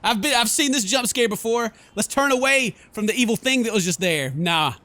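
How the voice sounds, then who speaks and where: A theatrical, excitable man streaming a horror game, switching between dramatic read-aloud and jokey casual commentary. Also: very high-pitched, a little fast, no echo. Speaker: gaming streamer. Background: game music.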